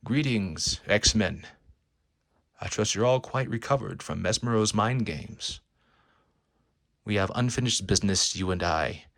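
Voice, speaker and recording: A man voicing a calm, formal, gloating supervillain in a comic book read-aloud. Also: lowish voice, unhurried, pauses often, dry recording, studio quality. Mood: menacing, gloating.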